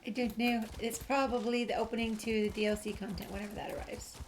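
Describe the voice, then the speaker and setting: Chatty higher-voiced woman gamer, noisy background. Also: flat delivery, fast. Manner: chatty, playfully talks to herself and the game. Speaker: gameplay video host. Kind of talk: casual game walkthrough commentary, thinking aloud. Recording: clear background noise, game sounds.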